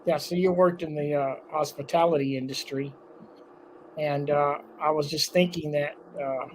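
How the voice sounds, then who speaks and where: A man's voice: a little high, fairly even intonation, moderate pace, slight background noise, poor audio. A man hosting a local talk show, chatting casually with a guest and rambling with lots of fillers.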